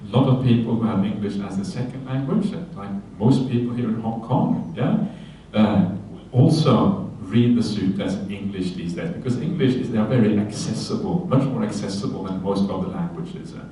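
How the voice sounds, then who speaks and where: A man, a Buddhist monk giving a relaxed dharma talk on a sutta, often checking in with his listeners and adding light humorous asides. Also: fairly low pitch, moderate pace, slight background noise. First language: Norwegian. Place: retreat hall. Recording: very echoey hall, voice from a distance, faint ambience.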